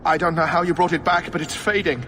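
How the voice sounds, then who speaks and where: An actor voicing a broken captive mage, solemn and sorrowful, in a dramatic fantasy video game. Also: male voice, on the high side, steady tone, quick pace, sharp tone, slight background noise, low-quality recording. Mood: anguished, resigned. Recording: music behind the voice.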